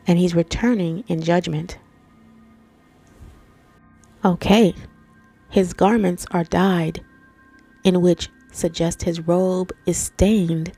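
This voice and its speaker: A woman's voice: lowish voice, mellow. A woman with a stuffy nose from allergies, reading scripture and explaining it to viewers in a casual, conversational way. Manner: conversational, addresses viewers as family and guys. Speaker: Bible teacher and channel host. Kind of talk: scripture reading and informal Bible teaching.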